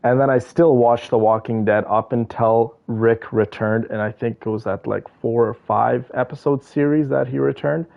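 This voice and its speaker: Creaky-voiced man chatting on a stream. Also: medium pitch, steady tone, moderate pace, hardly any pauses, dull audio. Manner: rambling, opinionated, slangy. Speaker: game streamer. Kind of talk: casual chat with viewers while playing.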